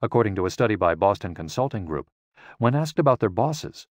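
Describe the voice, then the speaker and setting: Low-pitched male audiobook narrator. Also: sing-song, fairly quick, no echo, studio quality.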